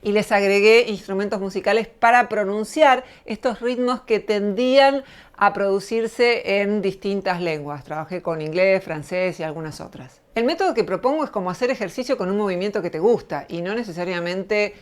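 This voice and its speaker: A middle-aged Argentine woman hosting her channel, giving a measured, thoughtful spoken essay. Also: mid-range voice, clean tone. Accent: Argentine Spanish. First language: Spanish. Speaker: channel host, lecturer. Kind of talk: reflective spoken essay to camera.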